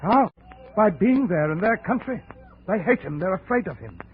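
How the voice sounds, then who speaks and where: An actor playing a big, grimly determined jungle trader with a brooding, menacing manner in a 1950s radio drama. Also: male voice, high voice, very animated, moderate pace. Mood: bitter, intense. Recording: narrow, noisy 1950s radio recording.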